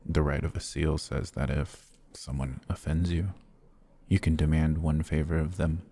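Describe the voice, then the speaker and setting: A man voicing a courtly, gentle vampire lord in a fantasy ASMR roleplay, speaking in a formal, measured, soft-spoken way. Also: level tone, exaggerated voice. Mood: solemn, measured. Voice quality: husky, low.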